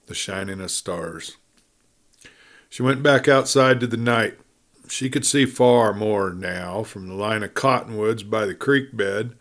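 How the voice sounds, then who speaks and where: Measured male western storyteller. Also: lowish voice. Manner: measured, deliberate storytelling with pauses. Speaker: audiobook narrator. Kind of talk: dramatic scripted narration of a western novel.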